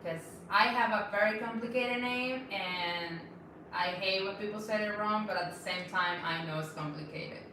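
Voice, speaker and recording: A woman's voice: mid-range voice, moderate pace. A woman with a Venezuelan accent co-hosting a casual bonsai livestream, cheerful and laughing often as she reads viewer questions. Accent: Venezuelan Spanish. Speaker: bonsai nursery co-host reading viewer questions. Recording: echoey room, distant mic, noisy.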